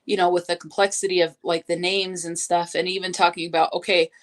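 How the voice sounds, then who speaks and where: A woman's voice: fairly low pitch, level tone, brisk. A woman co-hosting a casual book discussion, speaking in a tentative, reflective way. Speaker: co-host.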